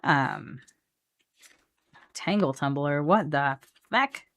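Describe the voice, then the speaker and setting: Animated woman, lowish voice, chatting casually. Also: drawn-out words. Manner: animated, thinking out loud. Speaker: card game streamer. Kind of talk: casual live-stream chat while building a card deck.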